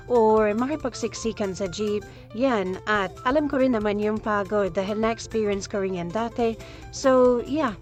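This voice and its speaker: A young woman with a Filipino accent talks at a medium pitch in a chatty, casual vlog style. She slips English phrases into her talk, and faint music plays behind her. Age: 20s. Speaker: vlogger, ESL teacher working from home.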